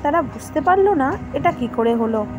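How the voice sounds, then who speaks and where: A woman's voice: high voice, lively intonation, moderate pace, over music. A woman narrator reading a scripted storybook tale for an animation.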